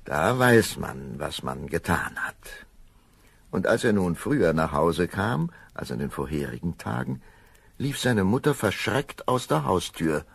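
A man narrating a children's fairy tale as an expressive storyteller, savoring the words with wry relish. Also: low voice, measured pace, gravelly, slightly rough, no echo, exaggerated voice.

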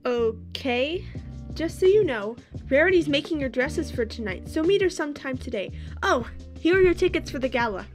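A woman's voice: high-pitched, expressive, moderate pace, soft background music. A woman voicing a polite, friendly young pony princess and mentor in a cartoon audio drama. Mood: helpful. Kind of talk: animated fan audio drama.

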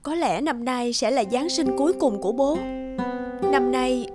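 A gentle young wife in an animated family drama. She is soft-spoken and tentative, with a worried, pleading manner. Music plays clearly behind her. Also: sits high, unhurried. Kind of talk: cartoon drama acting.

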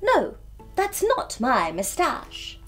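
A languid, self-satisfied storybook cat, voiced by a woman. It purrs its words slowly and smugly, stretching them out in a high voice. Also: huge pitch swings, fairly bright tone, soft background music, full character voice. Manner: slow, drawn-out purring. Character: smug, languid purring house cat. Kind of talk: children's storybook character voice.

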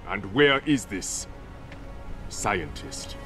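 A man's voice: on the low side, very animated, extremely slow, pushed and bright, soft background music, full character voice. A man playing a proud, guarded warrior king in a video game, with a regal, formal and firm manner. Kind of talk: video game drama acting.

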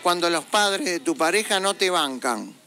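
Teasing radio host. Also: male voice, sits high, expressive, normal speed, pushed and bright. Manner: teasing, hurries the caller along. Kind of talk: joking radio call-in host.

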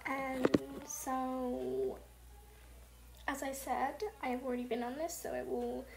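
Soft-spoken young woman, half-whispering. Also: high voice, little pitch movement, takes it slowly, creaky. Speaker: gaming channel host. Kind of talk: casual gameplay commentary. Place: home with family noise. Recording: noisy home recording.

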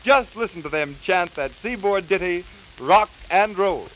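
A man delivering scripted 1930s promotional announcements with brisk, theatrical showman's hype. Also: sits high, animated. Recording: hissy, crackly 1930s 78 rpm record. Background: record hiss and crackle.

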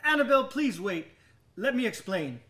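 High-pitched, haunted young gentleman. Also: very animated, moderate pace, full character voice. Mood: pleading, defensive. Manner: theatrical, agitated, old-fashioned diction. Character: haunted, sleepless young gentleman, anxious and volatile. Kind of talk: radio drama acting with dramatic monologue.

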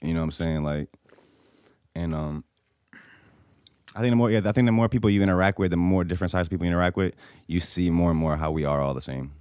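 A young man with an American accent hosts a casual podcast chat. He talks fast in a fairly low voice, very informally, tagging his thoughts with slang. The close mic gives a dry sound with little room in it. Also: a little mellow, smooth, no echo. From born in Bosnia, grew up in the US from age four. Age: young adult. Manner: informal, frequent slangy tags. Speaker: podcast host. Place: small podcast room with couches.

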